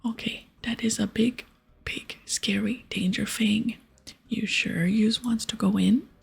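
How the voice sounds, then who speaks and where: A woman's voice: on the high side, fairly even intonation, full character voice. A woman voicing a dim, worried male ogre in whispered, broken baby-talk for a game. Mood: worried warning. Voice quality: whispered, breathy. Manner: slow, broken childlike grammar.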